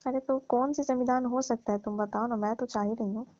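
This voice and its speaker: A woman's voice: a little high, steady tone, fairly quick, dark tone, no echo, soft background music, close to an everyday voice. Woman acting needy middle-aged woman, muffled dry call. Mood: agreeable. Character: needy divorced older woman, friend's mother, compliant yet wary. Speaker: actor in a staged phone call skit. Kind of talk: casual phone conversation acting. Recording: muffled lo-fi phone call recording.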